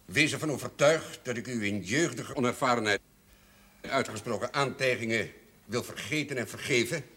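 An actor playing a haughty older notary in a television period drama, formal and condescending, on an old soundtrack. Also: male voice, mid-range voice, normal speed, fairly bright tone, lightly acted. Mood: smooth, condescending. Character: haughty, indignant older male notary, smoothly manipulative.

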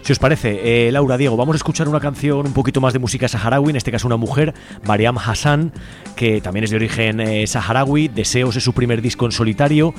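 A man's voice: mid-range voice, fast, faint music behind. A man hosting a radio interview, asking long conversational questions. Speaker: radio host.

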